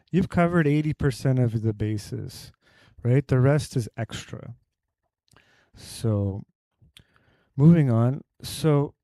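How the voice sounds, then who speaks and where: A man at a presenter's mic gives a health talk to a live audience and quizzes the room with questions. His voice is mellow and warm, and the sound is dry and close with little room echo. He speaks at an average pitch and explains through simple analogies.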